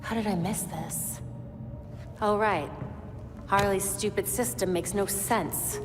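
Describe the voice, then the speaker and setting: Game detective heroine, female actor: sharp young woman, unhurried, mid pitch, analytical and wry, long reverb. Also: close to an everyday voice. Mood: wry, musing. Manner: analytical, wry, thinking aloud. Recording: long reverb under music.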